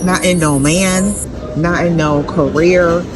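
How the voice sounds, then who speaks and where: A woman's voice: on the low side, steady tone, takes it slowly. A woman giving casual travel vlog commentary in a blunt, slangy, profane way. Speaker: travel vlogger and podcast host.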